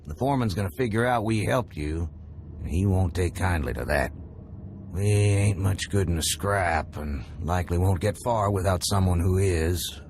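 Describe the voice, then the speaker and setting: An actor playing a good-hearted working-class salvager in a video game, folksy, drawling and earnest. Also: male voice, deep voice, normal speed, a little mellow, slight background noise. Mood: grateful. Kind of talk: video game character acting. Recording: music behind the voice.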